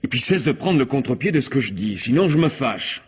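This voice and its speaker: An actor in a French radio mystery drama playing a cruel, greedy lover, contemptuous, commanding and impatient. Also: male voice, medium pitch, big pitch swings, brisk, slightly rough. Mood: bullying, impatient. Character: cruel, domineering, money-grubbing lover bullying a submissive woman.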